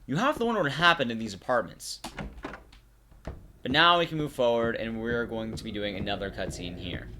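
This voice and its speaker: A man's voice: mid-range voice, animated, brisk, background noise. A man giving casual live gameplay commentary in a conversational way, explaining things to his audience. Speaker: streamer and commentator.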